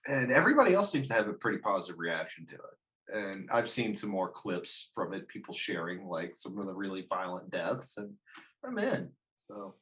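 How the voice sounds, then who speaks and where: A man's voice: sits low, huge pitch swings, normal speed, fairly bright tone. A man hosting a casual film discussion, swearing freely, blunt and joking as he gives his opinions. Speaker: host of a film discussion show. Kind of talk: casual chat, movie commentary.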